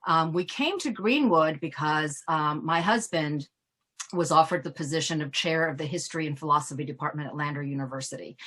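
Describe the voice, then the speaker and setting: A middle-aged woman from Ghana, an immigration attorney answering questions in an earnest, thoughtful way with frequent pauses. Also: low voice, fairly bright tone. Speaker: immigration attorney, guest speaker. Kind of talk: Q&A conversation.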